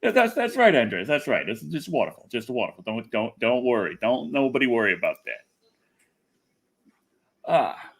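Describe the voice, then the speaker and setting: A man's voice: mid-range voice, sing-song, moderate pace, pauses often, breathy edge. A man hosting a casual livestream, chatting off the cuff in a rambling way and often repeating his phrases. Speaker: internet personality, livestream host. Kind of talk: casual livestream chat, answering viewers.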